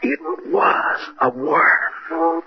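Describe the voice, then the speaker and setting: A man's voice: on the high side, wildly swooping pitch, very slow, creaky, full character voice. A man acting an arrogant middle-aged husband in a theatrical old-time radio drama, domineering and intense. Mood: anguished, horrified. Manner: domineering, theatrical, clipped phrasing. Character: arrogant, scornful husband unravelling into terror. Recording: muffled old radio recording, crowd-like noise.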